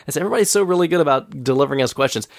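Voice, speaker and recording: A man hosting a casual podcast, nasal and scratchy from a cold. His pitch swings a lot as he tells a story, and his voice has a gravelly edge. The recording is dry and close. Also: rapid-fire, dry recording. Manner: chatty storyteller, laughs often, animated retelling. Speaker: podcast host.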